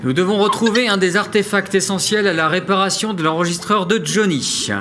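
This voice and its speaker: An actor playing a bumbling, forgetful captain in a comic audio drama, with a hesitant, self-correcting manner. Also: male voice, high voice, steady tone, fairly quick, lightly acted. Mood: explanatory, official. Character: bumbling, forgetful male captain of a time mission. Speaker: captain of a time-travel mission. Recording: music behind the voice.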